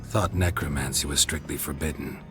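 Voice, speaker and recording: A man playing a stoic, dry-witted monster hunter in a video game, terse and deadpan. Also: rumbling low, brisk, soft background music. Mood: dry, calm. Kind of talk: understated video game acting.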